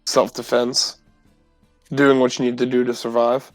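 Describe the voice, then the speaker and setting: A man's voice: medium pitch, little pitch movement, a little slow, no room sound. A man playing in a tabletop roleplay, chatting casually and speaking for his character. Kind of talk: casual in-character game chat. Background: faint music.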